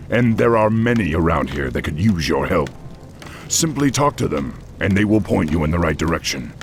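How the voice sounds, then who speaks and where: Proud orc war chief, dignified, deep-voiced, commanding, video game. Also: male voice, vocal fry, slight background noise, exaggerated voice. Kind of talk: video game cutscene acting.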